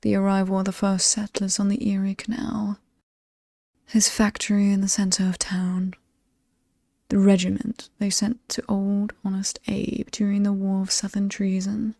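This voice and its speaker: A woman with a British accent playing an alluring, sinister ageless demon in a horror ASMR roleplay, breathy and half-whispered, teasing and lingering over her words. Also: medium pitch, completely flat delivery, moderate pace, mellow, dry recording, studio quality. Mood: sly, sinister. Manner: seductive, teasing, theatrically ominous, lingering on words.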